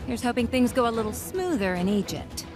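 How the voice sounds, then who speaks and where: Quippy heroine team leader, somewhat high voice, brisk, wry, animated game acting, loud music bed. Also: female voice. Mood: resolute. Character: confident, quippy female superhero team leader.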